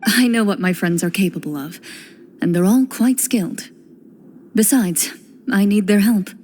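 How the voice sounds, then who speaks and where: A woman voicing a calm, stern duelist. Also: medium pitch, close to an everyday voice. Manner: calm, measured, quietly commanding. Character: composed, stern female duelist, young adult. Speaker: game character, a duelist hunter. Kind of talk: video game cutscene voice acting.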